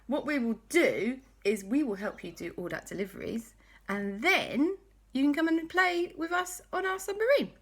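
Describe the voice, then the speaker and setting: A woman's voice: high-pitched, very animated, normal speed, pitched above the performer's own voice. A woman voicing a cheerful male pirate cat who talks like an old sailor in a bedtime story. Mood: helpful. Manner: hearty sea-dog talk.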